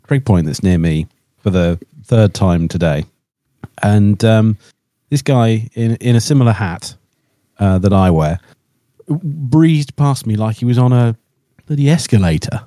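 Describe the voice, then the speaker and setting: A man with a low, mellow voice chats as a podcast panelist. He rambles in a joking, casual way, recorded without any room echo. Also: measured pace, studio quality. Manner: rambling, jokey. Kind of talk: casual chat.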